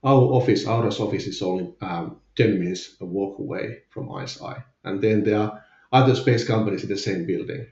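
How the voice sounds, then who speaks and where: A man's voice: fairly low pitch, normal speed, darkish tone, reverberant, highs cut off. A man with a Finnish accent, a guest in a candid podcast interview, speaking hesitantly with many fillers. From Finland. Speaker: guest, company CFO.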